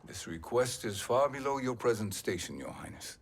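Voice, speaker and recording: A man playing a sly, smug older sorcerer in a video game, speaking in a silky, measured, condescending way. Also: mid-range voice, very animated, gravelly, a little hiss or hum. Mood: smug, persuasive. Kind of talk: dramatic video game acting.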